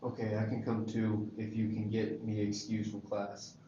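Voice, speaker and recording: A man's voice: on the low side, completely flat delivery, moderate pace, dark tone, natural voice. A man acting a wary, wounded teenage goth boy in a screenplay table read, subdued and guarded. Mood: skeptical. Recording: echoey room, dull lo-fi, faint noise.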